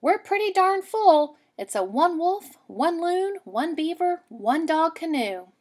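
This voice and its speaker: A woman's voice: very high-pitched, sing-song, slow pace, pitched above the performer's own voice, heavily acted. A woman voicing a plucky, exasperated young girl in a storybook, with emphatic sing-song delivery.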